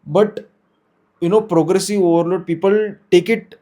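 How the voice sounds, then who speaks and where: A man's voice: sits high, fairly even intonation, normal speed, mellow. A young man in his twenties with an Indian English accent, a native Hindi speaker, talking hesitantly to camera about fitness and often restarting his sentences. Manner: hesitant, frequent restarts and self-corrections. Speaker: fitness vlogger. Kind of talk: unscripted talk to camera. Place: small room indoors.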